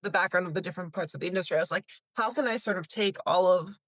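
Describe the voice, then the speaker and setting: A chatty woman in her thirties, raised in Canada, speaks at the lower end of women's pitch. She is recorded close and dry, with no room sound. She talks easily, with lots of "um"s and restarts. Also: racing, fairly bright tone, dry recording. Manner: chatty, many fillers and restarts, laughs lightly. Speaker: talent agency CEO. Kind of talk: casual interview answers.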